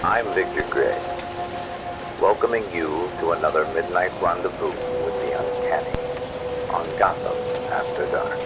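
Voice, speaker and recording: A man playing an ominous, poetic late-night radio host in an ASMR roleplay, with theatrical, measured delivery. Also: deep voice, natural voice, low-quality recording. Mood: ominous, brooding. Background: loud music and rain.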